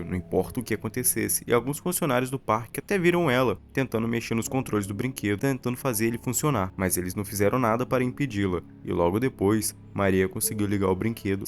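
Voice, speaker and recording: Low-voiced Brazilian man narrating over music. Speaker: narrator of a horror explainer video. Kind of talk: scripted storytelling narration.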